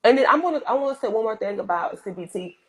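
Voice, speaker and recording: A woman's voice: on the high side, lively intonation, fast talker, husky. A woman with a strong voice giving earnest advice in a casual chat, repeating her points for emphasis. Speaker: guest in livestream chat.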